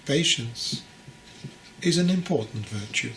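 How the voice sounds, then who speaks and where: A man voicing an ancient, calm and stern monastery master in an audiobook, with terse, measured, commanding speech. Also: mid-range voice, a little hiss or hum, rough recording. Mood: calm, firm. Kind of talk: audiobook character voice.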